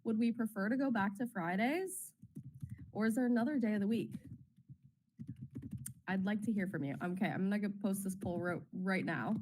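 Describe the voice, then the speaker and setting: A woman in her thirties hosting a webinar in a bubbly, chatty way, her voice slightly raspy as she gets over a cold. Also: mid-range voice, normal speed, pauses often, a little mellow, a little hiss or hum. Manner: bubbly, chatty, many ums. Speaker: webinar host, marketing officer. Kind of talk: casual presenting on a webinar.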